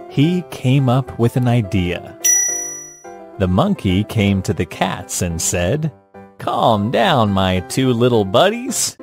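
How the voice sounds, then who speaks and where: A man reads a scripted children's story as narrator. His pace is leisurely and his intonation is lively. Music plays quietly behind him. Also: medium pitch, vocal fry.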